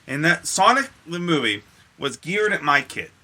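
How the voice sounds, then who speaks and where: A man's voice: on the high side, very animated, moderate pace, bright, forceful tone. A man co-hosting a podcast in casual, opinionated chat, swearing freely as he goes. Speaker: podcast co-host.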